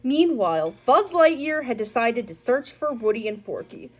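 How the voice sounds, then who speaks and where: A woman's voice: fairly high pitch, sing-song, normal speed, darkish tone. A woman reading a children's story aloud in a scripted narration style. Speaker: storybook reader. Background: music.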